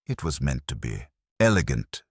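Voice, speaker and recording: Man as deep-voiced, vain theatre director. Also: big pitch swings, moderate pace, husky, no room sound, clean studio sound, exaggerated voice. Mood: wounded pride. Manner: theatrical, protesting. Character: vain theatrical male director, cornered yet self-justifying.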